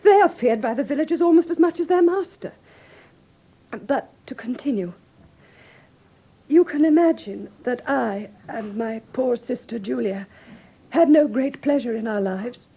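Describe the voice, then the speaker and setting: A woman with an English received pronunciation accent playing a frightened, grieving young gentlewoman who speaks formally and earnestly in a classic radio drama. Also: a little high, expressive, measured pace, vocal fry, slightly rough, full character voice. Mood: anxious, sorrowful. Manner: formal, earnest, precise storytelling.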